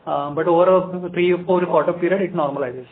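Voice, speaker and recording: A man's voice: high-pitched, fairly even intonation, brisk, phone-quality sound. A man explaining figures as a company executive on a conference call. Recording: noisy phone conference line.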